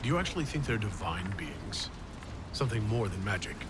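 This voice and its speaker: An actor playing a direct, questioning heroic leader in a fantasy video game, with a controlled manner. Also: male voice, fairly low pitch, big pitch swings, brisk. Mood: calm, probing. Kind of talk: video game dialogue acting. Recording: heavy ambient noise behind.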